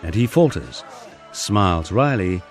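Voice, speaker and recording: A man with a low voice reads a first-person memoir, his pitch rising and falling expressively as he tells it with dry humor. Faint music plays softly behind him. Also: lively intonation, measured pace. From England. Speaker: audiobook narrator.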